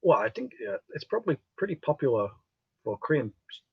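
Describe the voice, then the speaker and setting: A man hosting a casual radio discussion with a husky voice. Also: fairly high pitch, big pitch swings, a little fast, dry recording, dull audio. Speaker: radio show host. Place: dry radio studio.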